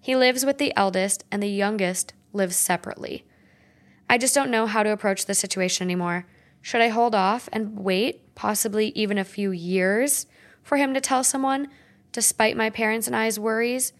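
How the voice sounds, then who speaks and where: A woman's voice: medium pitch, normal speed. Woman reading a listener's letter. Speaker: advice podcast co-host.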